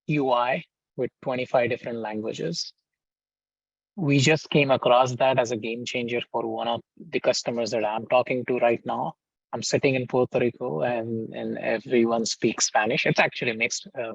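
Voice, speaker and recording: A man adding a relaxed, conversational remark during a webinar. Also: medium pitch, normal speed, gravelly, dry recording. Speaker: panelist. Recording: dry, muffled remote audio.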